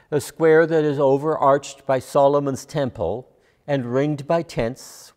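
An older man preaches from a prepared text in a large, echoing church. His delivery is very slow and deliberate, with pauses inside phrases, and his voice sits at a medium pitch. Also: darkish tone. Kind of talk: sermon, scripted and measured. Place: large church sanctuary, at a lectern. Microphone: lapel mic. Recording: long church reverb.

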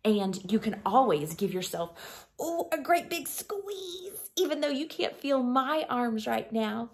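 A woman in her thirties reading a picture book to children like a schoolteacher, gently and expressively, softening to a breathy hush at line ends. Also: high voice, very animated, slow. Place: small living room at home.

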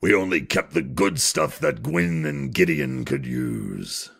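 A man playing a gruff, sceptical crook telling a story in an audio drama, with a gravelly, husky voice. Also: on the low side, wildly swooping pitch, takes it slowly, fairly bright tone, exaggerated voice. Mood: wry storytelling. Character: gruff, sceptical male crook recounting events.

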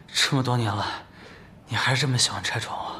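A man's voice: mid-range voice, moderate pace, bright, forceful tone, close to an everyday voice. An actor playing a dejected young man in a romantic TV drama. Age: young adult. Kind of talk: naturalistic TV drama acting.

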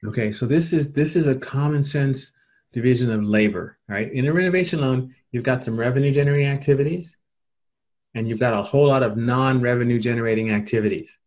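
A middle-aged American man with a medium-pitched voice presents a training webinar. He explains things informally and colloquially, in a plain-spoken manner. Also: fairly quick, a little mellow.

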